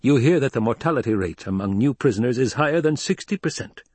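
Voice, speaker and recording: A man's voice: medium pitch, expressive, a little fast, slightly rough, dry recording, pitched above the performer's own voice. A man voicing a shrewd, bony old adviser in an audiobook, incisive, probing and contemptuous. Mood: probing, accusing. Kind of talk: dramatized audiobook reading.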